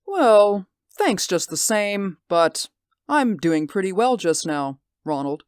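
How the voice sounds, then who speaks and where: A man's voice: sits high, very animated, slow, clean tone, no echo, clean studio sound, heavily acted. An actor playing a jittery, obsessed man who is haunted by what he sees in a neighbor's window, in 1940s radio drama style, speaking anxiously with stammers. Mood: sly.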